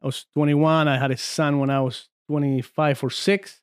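A man's voice: a little high, level tone, moderate pace, clear, resonant tone, dry recording. A man in his forties from Argentina, a podcast guest chatting casually in a studio, telling stories with many fillers and restarts. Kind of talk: casual chat.

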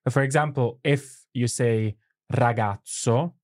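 A man's voice: mid-range voice, unhurried, darkish tone, no room sound, clean studio sound. Italian man co-hosting a language lesson. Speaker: language teacher and show host.

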